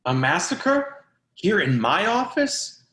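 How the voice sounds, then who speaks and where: A man's voice: high-pitched, sing-song, slow, pushed and bright, exaggerated voice. A man acting a bossy, swooping office man. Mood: excited shock. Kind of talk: play reading.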